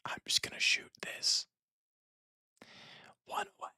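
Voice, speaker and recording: A person whispering casual, rambling commentary while playing puzzles, musing aloud in a breathy, hushed voice close to the microphone. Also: a little slow, no echo. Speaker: host of a puzzle-game stream.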